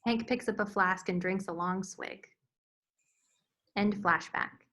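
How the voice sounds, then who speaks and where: A woman's voice: mid-range voice, steady tone, slow, a bit bright. A woman reading screen directions as the narrator, in scripted narration style.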